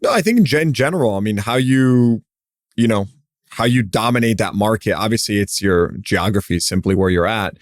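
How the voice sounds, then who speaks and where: A man's voice: on the low side, lively intonation, moderate pace, dry recording, clean studio sound. A man hosting a business podcast, asking curious questions in a loose style full of fillers. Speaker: podcast host. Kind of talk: podcast interview.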